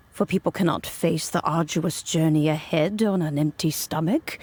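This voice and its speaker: A woman playing a wise ancient woman in a game cutscene, speaking in a measured, solemn, reflective way. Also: sits low, darkish tone, a little hiss or hum, full character voice. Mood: reflective, tender. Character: calm wise ancient woman, old friend of gods. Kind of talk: scripted narration, game cutscene. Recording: music behind the voice. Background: music.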